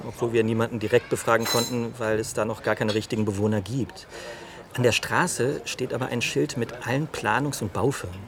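An actor playing a wry police detective in a naturalistic radio crime drama, factual with a teasing streak. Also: male voice, mid-range voice, steady tone, fairly quick, background noise, natural voice.

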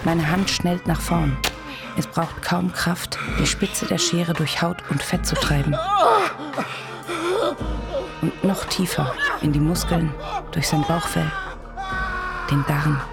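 An actress playing a wounded woman, narrating with slow intensity in a radio play. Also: sits low, steady tone, vocal fry, over loud music, heavily acted. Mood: pained, intense. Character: wounded woman narrating a violent stabbing in pain.

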